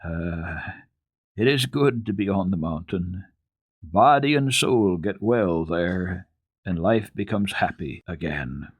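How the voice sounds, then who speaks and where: A man voicing a tender-hearted, kindly gentleman doctor in a children's storybook reading, gentle, soothing and earnest. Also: deep voice, expressive, slow, breathy edge, dry recording, heavily acted. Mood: soft, reflective.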